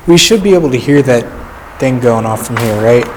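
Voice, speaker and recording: A man's voice: mid-range voice, expressive, a little fast. A young man investigating a barn at night, talking casually and cautiously telling others where to stand. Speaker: paranormal investigator. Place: dark old barn at night. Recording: noisy night recording.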